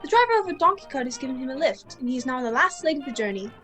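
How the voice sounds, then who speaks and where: A woman as high-voiced radio drama host. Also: big pitch swings, very fast, fairly bright tone. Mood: dramatic. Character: dramatic, formal old-time radio host. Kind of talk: scripted narration. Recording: music under video call audio.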